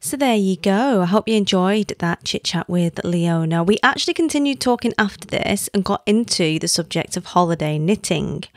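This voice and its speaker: A woman's voice: lowish voice, no echo, studio quality. A woman in her thirties hosting a podcast, chatty and playful in casual conversation. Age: 30s. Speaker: podcast host. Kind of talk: casual chat.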